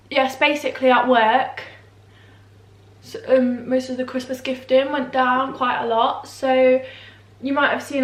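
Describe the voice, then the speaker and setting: A young woman, a beauty vlogger, chatting rambly and self-deprecating with lots of fillers, still a bit nasal from a cold. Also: on the high side. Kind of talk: casual chatty vlog. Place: room at home, indoors. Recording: echoey room.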